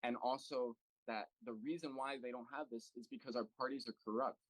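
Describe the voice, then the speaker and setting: A man's voice: mid-range voice, fairly even intonation, brisk, fairly bright tone, dry recording, low-quality recording. A man from the United States speaking at an online political meeting as a local party chapter president, earnest and full of fillers and restarts. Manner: earnest, thinking aloud with many fillers and restarts. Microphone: video call.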